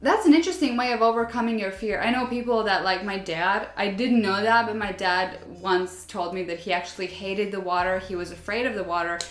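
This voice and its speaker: A woman's voice: mid-range voice, fairly quick, hardly any pauses, reverberant. A young woman who is not a native English speaker chats casually while reading out viewer stories, relating to them and laughing often. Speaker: vlogger.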